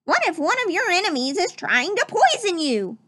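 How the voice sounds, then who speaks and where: An excitable duck butler from a children's storybook, acted out by a woman. The voice sits high and leaps around as he fusses and exclaims. He sounds bossy and easily flustered. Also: normal speed, exaggerated voice. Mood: fussy, scolding. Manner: fussy, exclamatory. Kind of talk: children's storybook read-aloud.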